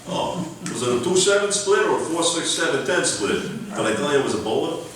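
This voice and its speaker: A man's voice: a little high, sing-song, brisk, a bit bright. A man playing a dim, cocky male pro bowler in a live old-time radio comedy, talking in street slang and mangled words. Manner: streetwise slang, garbled malapropisms, hesitant when flirting. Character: dim, swaggering male pro bowler, tough-guy boxer parody. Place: library meeting room, live show. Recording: very echoey, distant mic, room noise.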